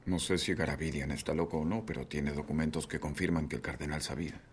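A man dubbing a calm, measured new newspaper editor in a Spanish film dub. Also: very deep voice, slight background noise.

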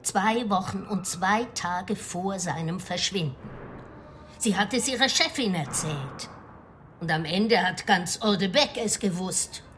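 An actress playing a grave, anxious middle-aged widow from the provinces in a radio crime drama, speaking hesitantly and with foreboding. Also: female voice, medium pitch, animated, a little slow, a bit bright, slight background noise. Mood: ominous, hesitant.

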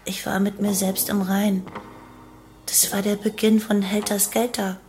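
Actress playing a cold, flat-toned young female cult killer. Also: medium pitch, measured pace, lightly acted. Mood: dreamy exaltation. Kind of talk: first-person radio play monologue. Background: music bed.

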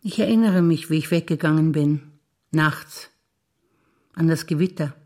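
An older woman, an actress reciting memories in a quiet, measured way on stage. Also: low voice.

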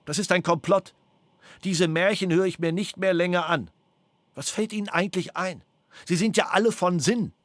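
A man's voice: high voice, moderate pace, a bit bright, roomy sound. A man being questioned by a prosecutor, agitated and defiant, repeating himself over and over. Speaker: suspect under interrogation. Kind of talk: police interrogation tape.

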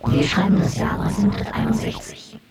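A man's voice: high voice, brisk. A man making a dramatic scripted documentary announcement, sounding roomy and set back. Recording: roomy, distant, over loud music.

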